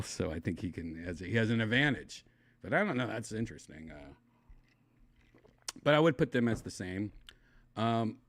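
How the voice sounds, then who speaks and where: Deep voiced guy from wisconsin, slight lisp, mumbly rambling stream chat, dry close mic. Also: no echo. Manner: loose, mumbling, trails off into quiet asides. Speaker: livestream host reading chat.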